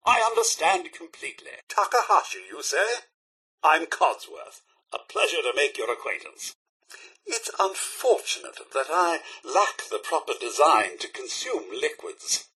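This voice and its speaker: Animated British robot butler. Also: male voice, a little high, breathy edge, heavily acted, poor audio. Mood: politely reserved. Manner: prim, formal, theatrically courteous. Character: polite, fussy, loyal male robot butler. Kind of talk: video game character dialogue.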